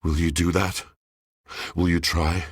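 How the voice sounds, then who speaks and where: A melodramatic living appliance from a video game, with an abyssally deep, rasping voice. It speaks in grand, poetic phrases, heavy with brooding heartbreak. The sound is dry and close, with no room around it. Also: male voice, little pitch movement, measured pace, no echo. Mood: tender, pleading. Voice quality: very deep, gravelly husky. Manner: grandiloquent, poetic, dramatic declaiming. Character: melodramatic, poetry-obsessed living washing machine, brooding and heartbroken. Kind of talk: theatrical video game voice acting.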